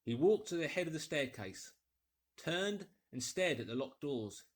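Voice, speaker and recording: Male audiobook narrator: expressive, wide-ranging intonation, husky edge, dramatic scripted storytelling. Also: a little high, a little slow.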